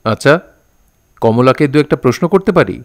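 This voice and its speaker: A man's voice: mid-range voice, lively intonation, fairly quick, dry recording, clean studio sound, close to an everyday voice. A man playing a stern young man who confronts his friend with sarcastic, insistent questioning in a Bengali audio drama. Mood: cold, threatening.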